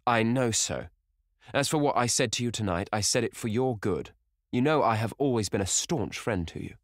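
A man voicing a proud, insolent young man who speaks in a hard, clear, taunting way. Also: mid-range voice, expressive, normal speed, no echo, studio quality, voice pushed up, lightly acted. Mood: cold.

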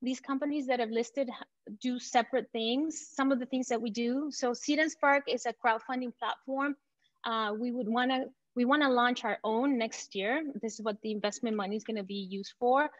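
A woman from Venezuela presenting her company in an enthusiastic, confident startup pitch. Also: steady tone, normal speed, dry recording, muffled recording. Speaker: company founder pitching to investors.

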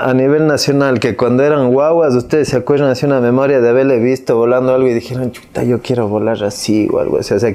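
A man in his thirties with an Ecuadorian Spanish accent, hosting a casual podcast chat with slangy, relaxed questions. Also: medium pitch. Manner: slangy, laid-back questioning. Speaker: podcast host. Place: small cozy studio room. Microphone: lapel mic.

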